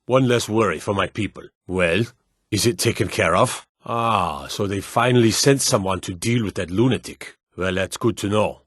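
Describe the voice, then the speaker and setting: An actor playing a stern, dutiful fantasy lord and soldier in a video game, speaking in a formal, measured, authoritative way. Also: male voice, on the low side, a bit bright, slightly rough, no room sound, clean studio sound. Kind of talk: video game character dialogue.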